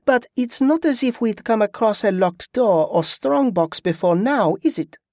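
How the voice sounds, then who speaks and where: A man's voice: high voice, animated, measured pace, darkish tone, dry recording, studio quality, voice pushed up. A man reading an audiobook, voicing a wry, playful woman with a raised, teasing voice. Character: wry, clever adult woman, a thief.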